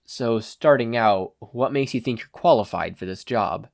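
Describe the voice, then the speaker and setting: Man acting as male gang boss, curt, businesslike, medium pitch, audiobook villain, dry sound. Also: moderate pace, vocal fry, dry recording, lightly acted. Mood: cool, commanding. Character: brusque, businesslike adult male Yakuza boss.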